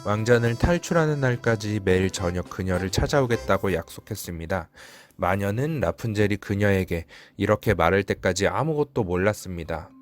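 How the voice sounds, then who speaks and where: A man's voice: lowish voice, animated, moderate pace, soft background music. A man reading a fairy-tale storybook aloud as the narrator, in scripted storytelling style.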